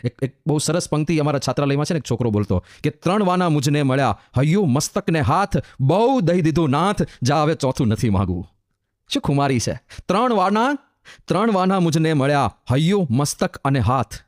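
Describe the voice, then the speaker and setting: A male monk delivers a devotional sermon in a fairly high voice. He draws out sung verses slowly, then explains them with building, repeated phrases. The sound is close and dry. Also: very animated, dry recording. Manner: chants verses slowly, then explains with rising emphatic repetition.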